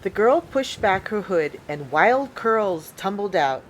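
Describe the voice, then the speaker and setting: A woman reading a children's picture book aloud as a storyteller, in an expressive, deliberate way. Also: medium pitch, a little hiss or hum, poor audio. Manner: deliberate, expressive storybook delivery with acted sound words.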